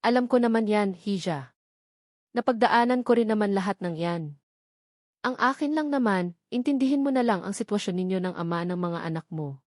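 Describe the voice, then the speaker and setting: Female narrator as middle-aged aunt in an audio novel; caring, frank, gently advising, dry sound. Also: mid-range voice, moderate pace, no room sound, natural voice. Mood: frank advice.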